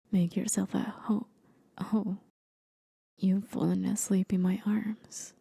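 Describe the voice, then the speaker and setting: A woman voicing a gentle, aged, mysterious demon of the forest in an intimate, soothing, breathy half-whisper for an ASMR roleplay. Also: medium pitch, dry recording, studio quality, full character voice. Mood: tender, hushed.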